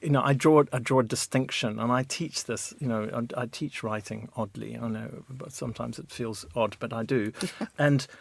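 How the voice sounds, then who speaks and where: A man's voice: mid-range voice, moderate pace, gravelly, no echo. Zimbabwean accent guy, middle-aged, thoughtful and self-deprecating, dry close mic. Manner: reflective, self-deprecating, restarts often. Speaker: author guest. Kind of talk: radio interview.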